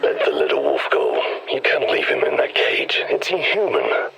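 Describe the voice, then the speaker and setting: An actor playing a grave, measured male ally in a video game, giving admonishing counsel over noisy audio. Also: a bass voice, wildly swooping pitch, full character voice. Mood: pleading firmly. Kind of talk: video game character acting.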